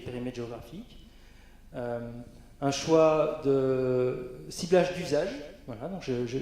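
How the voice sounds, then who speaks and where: Guy on a conference panel, mellow voice, kinda high, lots of ums, big echoey hall. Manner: hesitant, frequent fillers and restarts. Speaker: panel speaker.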